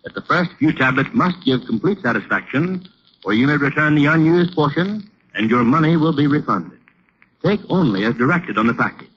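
An American man announcing a scripted old-time radio advertisement in a formal, earnest, deliberate way. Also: on the high side, animated, a bit bright, husky. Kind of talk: 1930s-40s radio advertisement. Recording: muffled old radio recording, faint noise.

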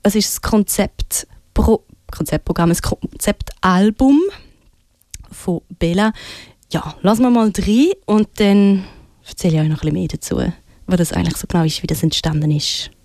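A woman's voice: fairly low pitch, moderate pace, a little mellow, no echo, clean studio sound. A woman with a Swiss German accent presenting music on the radio in a casual, enthusiastic, informal way. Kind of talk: casual chat introducing music.

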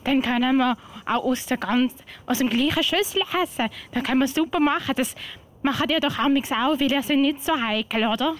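A woman playing a friendly, confused old lady in an amateur radio play, speaking loudly and haltingly with a Swiss German accent. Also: high-pitched, slight background noise. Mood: eager, friendly. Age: older. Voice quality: loud. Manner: muddled, repetitive, halting. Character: muddled, friendly, loud old woman offering food.

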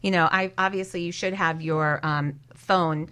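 A woman's voice: lowish voice, fairly even intonation, measured pace, fairly bright tone, smooth. A woman giving conversational advice as a guest expert on a talk show. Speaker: guest expert on social media networking.

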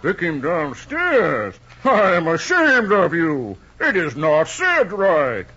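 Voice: medium pitch, wildly swooping pitch, slow, loud music behind the voice. An actor voicing a small, haughty creature who scolds and gives curt advice, in a dull old film recording.

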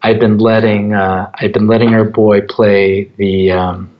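A middle-aged American man with a fairly low voice, whose first language is Japanese, speaks as an interview guest. He tells stories conversationally, choosing words with hesitant pauses. The recording is a little dull and lo-fi. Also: little pitch movement. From born in Japan, grew up in the United States from age four. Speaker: interview guest, app company founder. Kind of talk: conversational interview, anecdotal storytelling.

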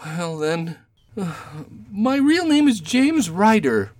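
A woman's voice: medium pitch, big pitch swings, slow pace, a little mellow. A woman playing a small, timid, guilty man in a Victorian detective drama, agitated and servile in manner. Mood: nervous, eager.

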